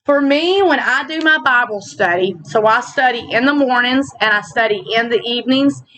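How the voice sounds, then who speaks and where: Bright, slightly raspy woman vlogger. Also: on the high side, slight background noise, rough recording. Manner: chatty and earnest, with fillers and slow reflective stretches. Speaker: channel host. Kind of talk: casual faith vlog chat. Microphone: laptop built-in mic.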